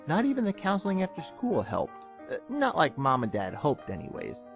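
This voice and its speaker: Male narrator, mid-pitched, very animated, theatrical, dramatic storytelling, soft music behind. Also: moderate pace, creaky.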